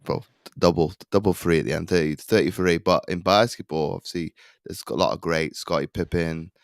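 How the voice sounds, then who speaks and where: A man's voice: low-pitched, fairly even intonation, a little fast, gravelly, clean tone, no echo, clean studio sound. A man hosting a podcast, asking questions in a rambling, conversational way that drifts into tangents. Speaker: podcast host. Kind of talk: conversational interviewing.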